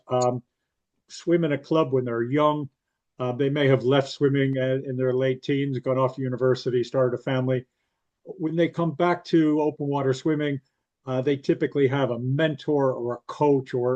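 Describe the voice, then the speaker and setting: A man hosting an interview who explains background to the viewers before asking his guest questions. He fills his pauses with "uh" and "um". His voice comes through slightly dull, lo-fi audio. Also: mid-range voice, darkish tone. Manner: explains context for viewers, filler-laden. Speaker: interview host.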